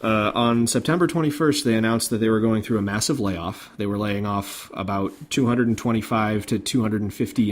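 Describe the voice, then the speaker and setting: A man hosting a podcast, recounting news in an informal, conversational way. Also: lowish voice. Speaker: podcast host. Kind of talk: casual podcast chat about game industry news.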